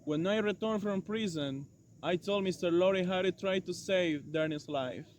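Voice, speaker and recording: A man playing a regretful French doctor and former prisoner in an amateur dramatized monologue, speaking haltingly and correcting himself. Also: high voice, lightly acted. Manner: halting, hesitant, self-correcting delivery. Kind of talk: amateur dramatized monologue retelling past events. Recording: dull lo-fi sound, faint noise.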